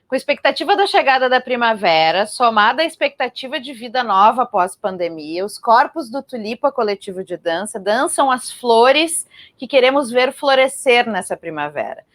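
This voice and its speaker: A woman's voice: on the high side, animated, normal speed, fairly bright tone, no echo. A Brazilian woman hosting and interviewing in a conversational style on a live arts programme.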